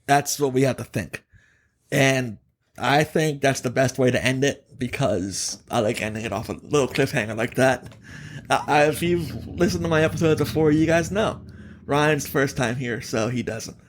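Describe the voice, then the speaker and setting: A man hosting a casual gaming podcast, giving opinions emphatically in a halting, self-correcting way. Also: medium pitch, slight background noise. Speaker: podcast host.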